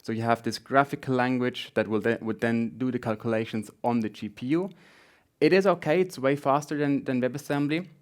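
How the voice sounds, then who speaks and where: Male tech speaker, Swiss German accent, mid-range voice, informal and a little halting. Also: medium pitch. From Switzerland. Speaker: conference speaker, front-end developer. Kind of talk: conference tech talk.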